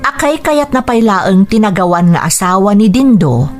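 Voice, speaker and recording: An actress with an Ilocano accent playing a gentle, anxious young fiancee in a Philippine radio drama. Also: female voice, mid-range voice, lively intonation, moderate pace, faint music behind, natural voice. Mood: reflective, troubled. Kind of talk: Philippine radio drama acting and first-person narration.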